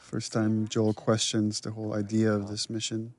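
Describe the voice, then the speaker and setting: A man's voice: lowish voice, monotone, normal speed, a little mellow. A man giving casual, explanatory behind-the-scenes commentary as a creator.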